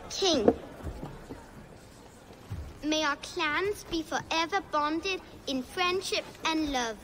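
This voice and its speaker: A woman's voice: high-pitched, slow pace, background noise. A female actor playing an earnest, dutiful young Viking girl in a game. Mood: solemn, nervous. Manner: earnest, childlike. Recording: music and crowd behind the voice. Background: music, crowd.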